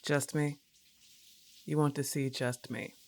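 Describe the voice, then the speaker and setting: A woman acts out a young goth roommate in an ASMR roleplay, her voice low for a woman and slow. She is laid-back and casual, teasing and coaxing with a slightly annoyed edge. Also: flat delivery, a little mellow. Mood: mildly annoyed, probing.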